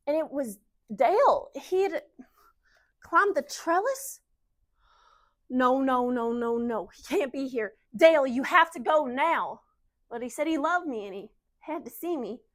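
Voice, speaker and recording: Casting: woman playing naive young wife, slightly high voice, confiding and halting, emotional stage monologue. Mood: frightened, urgent. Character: anxious young Southern wife, naive, defending her husband. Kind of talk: dramatic monologue in speech competition.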